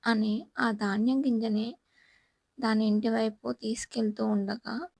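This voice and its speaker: A woman narrates a scripted children's story. Her voice is high, with noticeable creak and some huskiness, in a dry recording. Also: flat delivery, unhurried, a little mellow, dry recording.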